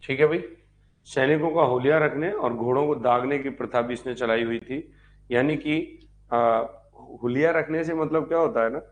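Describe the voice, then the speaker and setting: Male history lecturer, mid-pitched voice, dictates in repeated phrases and poses questions he then answers. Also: poor audio. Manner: repeats phrases slowly for note-taking; asks and answers his own questions. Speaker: history teacher. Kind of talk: live exam-prep lecture with dictation.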